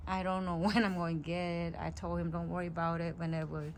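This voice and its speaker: A middle-aged woman from Cambodia giving a relaxed, chatty tour of her backyard garden, outdoors on a phone mic. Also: on the low side, fairly quick, a little hiss or hum. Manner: relaxed, chatty, simple phrasing. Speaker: gardening vlogger.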